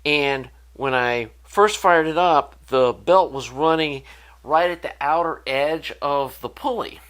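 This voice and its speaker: A man's voice: fairly high pitch, takes it slowly, a bit bright. A man giving an informal, hands-on repair walkthrough in an unscripted, conversational way, like a hobbyist presenter.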